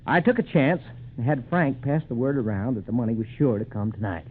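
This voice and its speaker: An actor in a 1940s radio drama playing a clear-headed, modest young man solving a frontier mystery in an earnest way. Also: mid-range voice, animated, brisk, lightly acted. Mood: earnest explaining. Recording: muffled narrowband 1940s radio recording.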